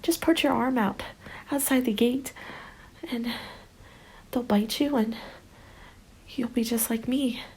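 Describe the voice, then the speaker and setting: A woman playing a desperate, unhinged woman who longs to join the undead, coaxing in a breathy whisper in an ASMR roleplay. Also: fairly high pitch, steady tone, slow, darkish tone, pitched above the performer's own voice, exaggerated voice, rough recording. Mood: eerie pleading.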